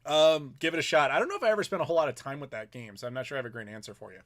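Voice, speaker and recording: A man's voice: fairly high pitch, lively intonation, rapid-fire, fairly bright tone. Chatty man in his thirties. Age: 30s. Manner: rambling, chatty, reads viewer messages aloud. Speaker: YouTuber host. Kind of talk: casual live Q&A chat.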